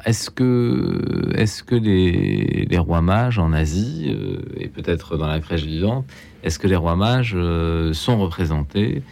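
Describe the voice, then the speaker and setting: A man's voice: sits low, creaky, a little mellow. A man hosting a live radio discussion, rephrasing his questions as he goes and interjecting often. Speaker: radio host.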